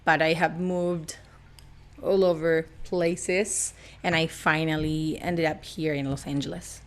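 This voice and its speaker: Argentine woman guest on a podcast, slow and playful, laughs a lot. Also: low voice. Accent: Argentine Spanish. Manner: playful, laughs often, animated. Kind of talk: casual chat.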